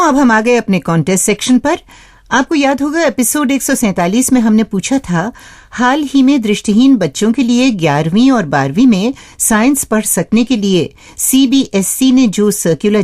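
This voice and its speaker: A woman's voice: on the high side, a little mellow, no room sound, clean studio sound. A woman presenting a scripted radio show, explaining things step by step and addressing listeners as friends.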